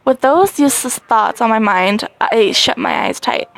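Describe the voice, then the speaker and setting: Excitable high-voiced woman reading a game. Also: moderate pace, low-quality recording. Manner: excitable, dramatic, with squeaky rises. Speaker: gaming channel host. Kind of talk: reading a story game aloud.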